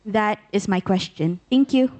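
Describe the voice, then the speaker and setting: A woman's voice: medium pitch, unhurried, mellow. A woman in her twenties, a student putting an articulate, formal question earnestly from a conference audience. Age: 20s.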